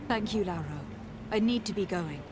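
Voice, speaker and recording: An actress playing a composed young noblewoman investigator in a fantasy game, questioning in a polite, measured, formal way. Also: medium pitch, background noise, lightly acted. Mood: probing, composed. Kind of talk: video game dialogue acting.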